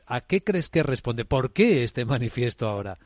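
A man hosting a radio interview, who introduces his guest and asks questions in a measured, clear way. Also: mid-range voice, very animated, no echo, studio quality. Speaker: radio host.

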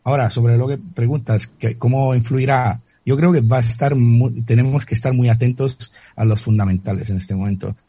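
A man's voice: mid-range voice, steady tone, moderate pace, few pauses, warm tone, slightly rough. A man from Italy with an Italian accent, a guest on a radio interview who often repeats words while searching for the right term.

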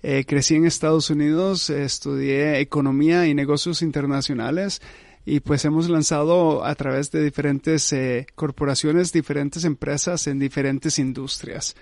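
A man's voice: on the high side, fairly even intonation, normal speed, vocal fry, a little mellow, no echo, clean studio sound. A man from Costa Rica, a startup founder talking as a guest in a radio interview, agreeable and often saying "correcto", with many filler "eh"s.

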